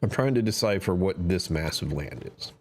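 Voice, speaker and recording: Low-voiced man streaming a game. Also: expressive, normal speed, darkish tone. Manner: chatty, self-talking, trails off into whispers. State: slightly strained voice. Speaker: live streamer. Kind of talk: casual gameplay commentary.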